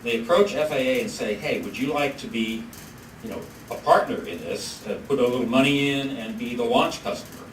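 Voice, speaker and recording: Man talking in an echoey hall from afar. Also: mid-range voice. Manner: halting, many fillers and restarts. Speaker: policy researcher presenting a study. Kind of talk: conference presentation. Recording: echoey room from far off, noisy.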